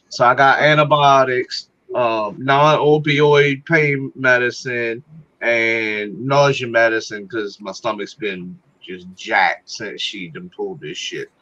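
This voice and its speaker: A man's voice: mid-range voice, sharp tone, no room sound, highs cut off. A man in his forties chatting casually and candidly on a livestream, laughing often. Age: 40s. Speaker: livestream participant. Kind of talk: casual chat.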